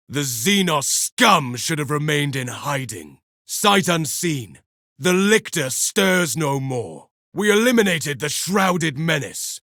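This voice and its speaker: A man's voice: a little high, pushed and bright, dry recording, studio quality, full character voice. An actor playing a grim, pious armored male super-soldier, declaiming solemn insults over slain foes in a video game. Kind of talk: video game combat voice lines.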